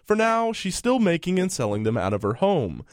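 A man's voice: high-pitched, very animated, moderate pace, no echo. A man reading a scripted radio news report into a studio microphone.

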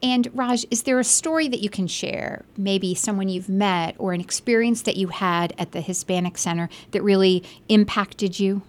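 A woman's voice: mid-range voice, moderate pace, hardly any pauses. A woman hosting an interview, asking long, framed questions and affirming her guest. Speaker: TV interview host.

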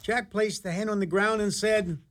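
A man's voice: high voice, steady tone, normal speed, a bit bright. A man narrating a fairy-tale radio play in an ornate, dramatic, theatrical style. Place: radio studio.

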